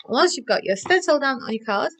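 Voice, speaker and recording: A woman presenting stories and crafts to young children in an animated, encouraging way, often asking them questions. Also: high-pitched, quick pace, slight background noise. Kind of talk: interactive children's storytelling and instruction.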